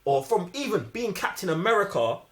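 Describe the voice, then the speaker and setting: A man's voice: high-pitched, big pitch swings, fairly quick. Enthusiastic London man in casual film chat. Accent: London British. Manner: enthusiastic, slangy, repeats himself for emphasis. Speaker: film review panellist.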